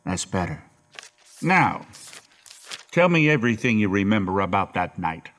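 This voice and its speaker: A man plays a relentless police interrogator in an audio horror drama. His fairly deep voice is taunting and condescending, with a deliberate, sardonic bullying edge. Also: big pitch swings, fairly bright tone. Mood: threatening.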